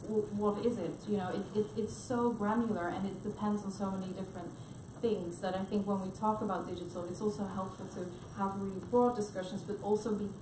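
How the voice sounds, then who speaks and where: A woman on a research panel talks things through with frequent restarts and a thoughtful, hesitant delivery. Her voice sits at a middling pitch. The room around her rings with echo, and steady noise runs underneath. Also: fairly quick, hardly any pauses. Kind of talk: panel discussion remarks. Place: conference room, panel event.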